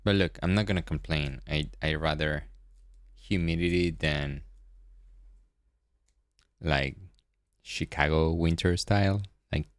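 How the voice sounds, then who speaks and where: A man from Venezuela, hosting a day-trading livestream and chatting casually with viewers, often repeating words and trailing off low. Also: slow, long pauses, no room sound, studio quality.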